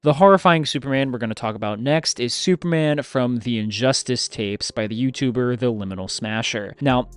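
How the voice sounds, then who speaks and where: A man's voice: mid-range voice, brisk, few pauses, clear, resonant tone. A man narrating a scripted video essay in an analytical, explanatory way, stressing key phrases.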